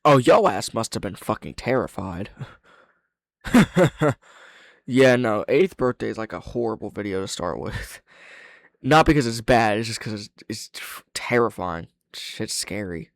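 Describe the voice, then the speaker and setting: A man's voice: fairly low pitch, animated, a little slow, vocal fry, dry recording. A man giving casual, off-the-cuff reaction commentary, often interrupting himself and swearing freely. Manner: hesitant, self-interrupting, frequent swearing. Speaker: reaction host.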